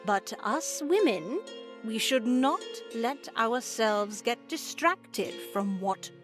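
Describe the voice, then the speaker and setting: A woman playing a pious, strict, motherly nun in a game, speaking in a measured, formal, instructive way. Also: a little high, expressive, faint music behind. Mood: serene, preaching. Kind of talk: scripted visual novel voice acting.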